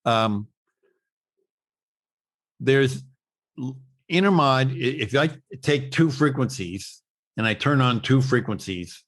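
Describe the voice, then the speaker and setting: A man giving a technical webinar explanation as an instructor, in a halting, stop-start way with repeated words. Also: mid-range voice, animated, a bit bright. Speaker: instructor presenting at an online summit.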